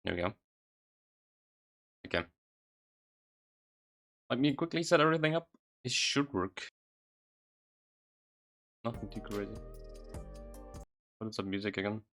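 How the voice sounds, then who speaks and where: A man's voice: on the low side, animated, a little slow, pauses often, a bit bright. A man livestreaming casually, thinking aloud in a hesitant, loose way. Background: background music.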